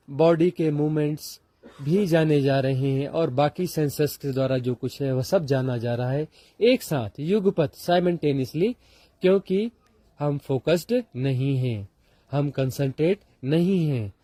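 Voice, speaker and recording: Indian man, meditation teacher, slightly high pitch, dry close sound, deliberate repeated phrases, pauses. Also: warm tone, dry recording. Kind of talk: guided walking meditation instruction.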